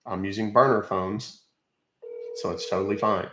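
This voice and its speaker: A man's voice: fairly low pitch, steady tone, normal speed, reverberant, background noise. A man hosting a live stream who explains things casually and talks straight to his viewers. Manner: explanatory, addressing viewers directly. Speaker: livestream host.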